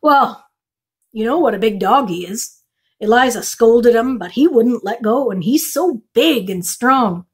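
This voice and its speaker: Lady reading an earnest uncle from a storybook spinning a yarn, emphatic, kinda high voice. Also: animated, measured pace, breathy edge, full character voice. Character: countryman uncle earnestly telling a dog story.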